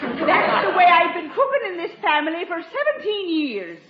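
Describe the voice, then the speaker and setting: An actress playing a blunt, defiant, wisecracking American housekeeper in a 1950s radio comedy. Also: female voice, very high-pitched, very animated, normal speed, a faint crowd. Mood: indignant. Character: feisty, blunt long-serving American housekeeper. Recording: muffled, echoey old radio recording.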